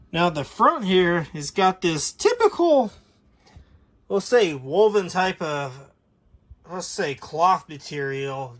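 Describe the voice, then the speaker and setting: Higher-pitched American man, casual and rambling. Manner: rambling, folksy, conversational. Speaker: vlogger showing vintage audio gear. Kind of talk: casual unscripted product walkthrough.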